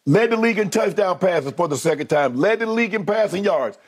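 A man's voice: high voice, animated, brisk. A man in a sports debate, emphatically listing statistics to make his case and laughing often. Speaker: co-host.

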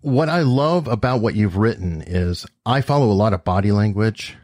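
Male podcast host, low husky voice, upbeat interviewer, dry booth sound. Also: lively intonation, normal speed, a little mellow, no echo. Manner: upbeat, enthusiastic questioning. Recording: dry close-mic sound.

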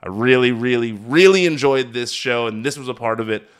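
A man hosting a wrestling podcast, talking in an energetic, hyped-up, chatty way while recovering from being sick. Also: mid-range voice, brisk, smooth. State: recovering from illness. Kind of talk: casual podcast recap.

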